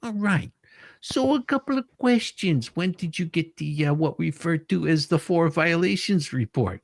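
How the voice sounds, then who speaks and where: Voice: medium pitch, lively intonation, normal speed, creaky, no room sound. A performer reading the part of a pushy, testy male lawyer who argues insistently with a witness. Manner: insistent, argumentative. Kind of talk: dramatic reading of a deposition transcript.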